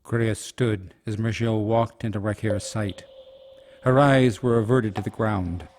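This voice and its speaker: A man's voice: fairly low pitch, fairly even intonation, measured pace, darkish tone, a little hiss or hum. A man giving scripted, dramatic narration as the storyteller of a fantasy radio play.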